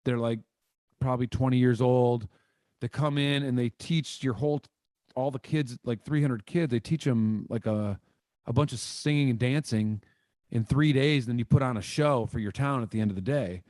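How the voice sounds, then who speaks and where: Musician guy from michigan rambling through stories on a video call, mid pitch, super dry close mic. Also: moderate pace, no room sound. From Michigan, USA. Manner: animated, rambling, lots of "like" fillers and gestures. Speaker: guest musician. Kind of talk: casual interview storytelling. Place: home room, indoors. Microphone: studio mic on boom arm, video call.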